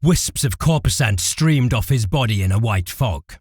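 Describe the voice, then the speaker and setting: A male narrator delivers a dramatic script with intensity. His mid-pitched voice moves expressively up and down, recorded very dry and close. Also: expressive, normal speed, darkish tone, husky, no room sound.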